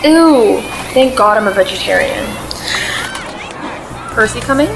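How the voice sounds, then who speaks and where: Quirky teen friend played by a woman: fairly high, casual, teasing and whimsical, clear background ambience. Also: expressive, a little slow, a crowd in the background, noisy background. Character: easygoing, whimsical teenage girl into witchy things. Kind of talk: contemporary audio play acting.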